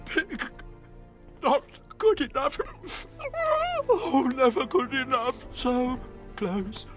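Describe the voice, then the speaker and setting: An actor voicing a deranged, childlike villain who fancies himself an artist surgeon, theatrical and halting, in a video game. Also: male voice, very high-pitched, lively intonation, exaggerated voice. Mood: whining, distraught. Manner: theatrical, halting, third-person childlike speech. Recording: music behind the voice.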